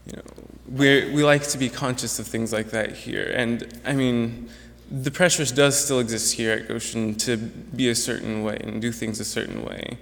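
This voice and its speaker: A man's voice: medium pitch, fairly even intonation, moderate pace, gravelly, hardly any pauses, a bit bright. Earnest young man in a reverberant hall. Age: young adult. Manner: earnest, reflective, with some restarts. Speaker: graduating student speaker. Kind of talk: prepared speech to an audience. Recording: echoey hall with long reverb.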